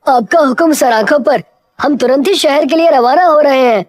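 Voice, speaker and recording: A woman's voice: high voice, moderate pace, a little mellow, pitched above the performer's own voice, natural voice. A woman voicing an earnest, puzzled village man in a cartoon moral story, with an urgent, questioning delivery. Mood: eager.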